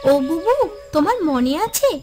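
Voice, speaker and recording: A woman's voice: extremely high pitch, big pitch swings, normal speed, a little mellow, faint music behind. A woman playing a caring, eager younger co-wife in a village cartoon, with a sweet manner. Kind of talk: cartoon voice acting.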